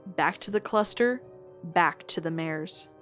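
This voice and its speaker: Expressive woman narrator over light music. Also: fairly low pitch, slow. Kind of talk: expressive scripted fan fiction narration.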